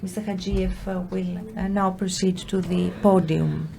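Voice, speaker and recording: A woman's voice: fairly low pitch, steady tone, unhurried, creaky, mellow. A woman formally introducing speakers as a ceremony announcer, a little hesitant.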